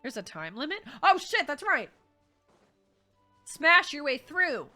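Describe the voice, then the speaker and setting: Animated, bright-voiced woman streamer. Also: high-pitched, slow, soft background music. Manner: expressive, informal, sweary. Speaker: game streamer. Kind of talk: casual playthrough reaction commentary.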